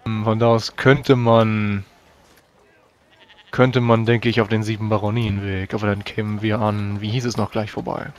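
A man playing a weary adventurer in a tabletop game, deliberate and dry, pausing to think. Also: on the low side, little pitch movement, close to an everyday voice. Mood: pondering. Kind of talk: tabletop roleplay in character.

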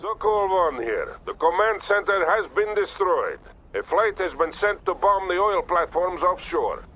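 An actor playing a brisk, commanding Soviet military officer reporting over radio in a war game. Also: male voice, high voice, big pitch swings, sharp tone, muffled recording, exaggerated voice. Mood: brisk, grave. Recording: noisy battle sounds behind.

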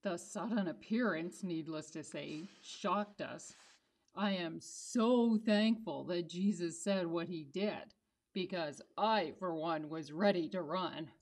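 Woman as a measured, slow-speaking disciple puppet. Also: on the low side, a little mellow. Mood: grave. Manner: measured, earnest. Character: sober, thankful disciple puppet explaining the Easter story. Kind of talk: children's puppet show storytelling.

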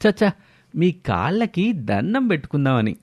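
A man voicing a shameless idler son-in-law who flatters and wheedles with exaggerated respect in an audio story. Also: on the high side, normal speed, no room sound, lo-fi sound. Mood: mock innocent.

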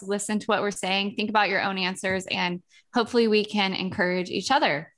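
This is an upbeat woman in her forties who hosts a podcast. She talks readily and warmly greets her guests over a video call. She speaks into a headset mic from a room at home. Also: mid-range voice. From Texas. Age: 40s. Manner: upbeat, welcoming, talkative. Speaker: podcast host.

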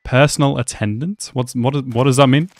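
A man's voice: mid-range voice, normal speed, faint music behind. A young man casually reacting to an anime episode, chatty and swearing a lot, calling the characters bro. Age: young adult. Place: small room at home. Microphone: headset mic.

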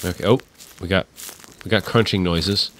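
Deep-voiced sick man, jumpy and swearing. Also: moderate pace, a bit bright, slight background noise, poor audio. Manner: jumpy, swearing, yelps when scared. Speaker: let's play co-host. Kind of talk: casual gameplay commentary.

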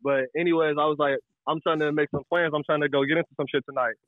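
A man's voice: on the high side, fairly even intonation, fast, no echo, phone-quality sound. A young American man, a caller casually telling a personal story in slangy, profane language.

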